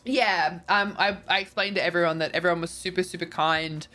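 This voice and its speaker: A woman's voice: fairly low pitch, fairly even intonation, fairly quick, pushed and bright, faint music behind. A tired, congested woman, chatting casually as a streamer and reading viewer messages, rambling with lots of ums and likes.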